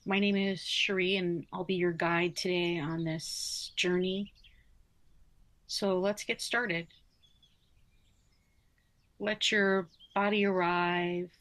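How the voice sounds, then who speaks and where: A woman guiding a yoga nidra relaxation in a soothing manner, speaking in short phrases with long pauses. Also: lowish voice, little pitch movement, a bit bright. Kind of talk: guided relaxation meditation, slow spoken instructions.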